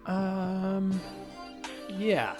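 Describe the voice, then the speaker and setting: Man as an awkward, earnest, halting churchgoer. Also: high-pitched, music in the background, voice pushed up. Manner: halting, tentative. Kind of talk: improvised audio comedy.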